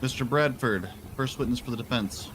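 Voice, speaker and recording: A man acting as a judge in a roleplay court, moving briskly through procedure. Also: mid-range voice, noisy background.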